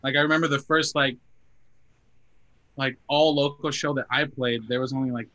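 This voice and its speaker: Young male musician guest, casual rambling chat, bright tone, dry muffled recording. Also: medium pitch, steady tone, normal speed. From Phoenix area, US. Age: 20s. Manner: chatty, lots of "like" fillers, quotes people in his stories. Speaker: band member guest.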